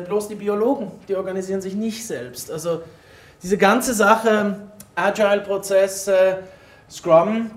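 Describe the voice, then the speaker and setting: A man giving an explanatory conference talk in an informal way, addressing his audience directly as a native German speaker. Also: sits high. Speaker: conference speaker, former molecular biologist. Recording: roomy echo, distant microphone in hall.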